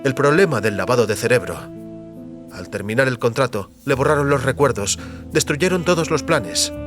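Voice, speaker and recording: Casting: male narrator, mid-pitched, scripted dramatic sci-fi storytelling over faint music. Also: expressive, moderate pace.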